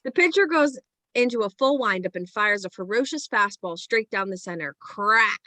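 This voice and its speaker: A woman's voice: medium pitch, lively intonation, normal speed, pushed and bright, no echo. A woman reading screenplay stage directions as scripted present-tense narration.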